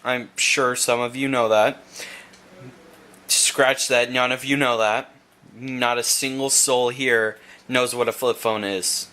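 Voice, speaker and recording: Bright-voiced young man rambling casually. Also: mid-range voice, steady tone, slow pace. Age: young adult. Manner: rambling, loses his train of thought. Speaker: vlogger. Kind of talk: casual chat. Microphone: handheld camera.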